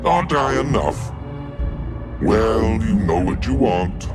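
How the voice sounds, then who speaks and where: An actor voicing a bloodthirsty, mocking demonic male scythe in a game, with a deep gravelly growl. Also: very animated, slow, over loud music. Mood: sneering. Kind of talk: game voice lines.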